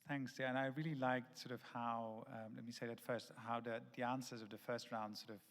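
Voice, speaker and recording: A man answering as a panelist, in a relaxed, loosely phrased way. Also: mid-range voice, a little fast. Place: conference centre session room. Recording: long reverb of a large hall.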